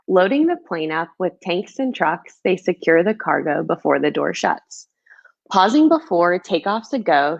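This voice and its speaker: Low-voiced woman with a creaky edge. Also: lo-fi sound. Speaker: children's book author reading own book. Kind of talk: storybook read-aloud, rhyming, then casual chat.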